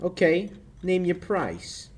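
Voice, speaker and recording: A young actor playing a slick, mocking, menacing racketeer in a 1940s-style radio play. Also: male voice, sits high, very animated, slow, full character voice. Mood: wary, threatening. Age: young adult. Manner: sneering, wisecracking. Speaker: high school actor.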